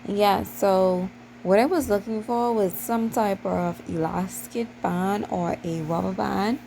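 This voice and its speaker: A Bahamian woman talks viewers through a hair tutorial in a chatty, repetitive way. Her voice has a gravelly fry to it, and clear background noise sits under the recording. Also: mid-range voice, fairly even intonation, slow, dark tone, low-quality recording. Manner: chatty, repeats herself, addresses viewers as you guys. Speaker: channel host.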